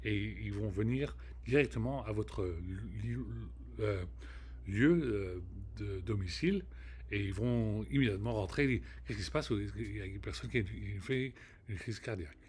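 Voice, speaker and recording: A man with a fairly low voice hosts his own show and talks in a halting, casual way, full of restarts and hesitations, often dropping English words mid-sentence. His speech stumbles and repeats. A faint hum of room ambience stays underneath. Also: a little mellow. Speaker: podcast host. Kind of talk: casual monologue to camera.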